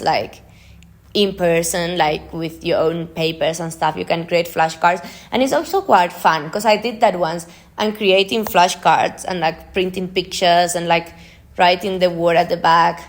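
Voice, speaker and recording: A young woman whose first language is Spanish hosts a solo podcast. Her voice sits a little low for a woman. She talks in a chatty, informal way, partly following notes and partly speaking off the cuff. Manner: chatty, informal, frequent use of "like". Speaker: podcast host.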